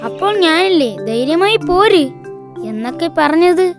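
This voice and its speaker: A woman voicing a plucky, quick-witted young animal character in a children's cartoon. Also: extremely high pitch, lively intonation, over music. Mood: questioning, reproachful.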